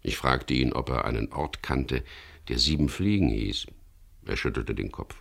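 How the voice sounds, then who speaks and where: A man's voice: very deep voice, normal speed, gravelly, husky, exaggerated voice. An actor playing a weary, stubborn skipper who narrates his crime adventure in a laconic, understated way in a radio drama. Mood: brooding. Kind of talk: 1970s radio drama acting and first-person narration.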